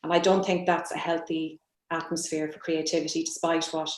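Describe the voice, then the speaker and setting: A woman's voice: low-pitched, little pitch movement, a little fast. A woman writer talking reflectively on a panel, thoughtful and hesitant, circling back over her phrases. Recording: roomy, echoing sound.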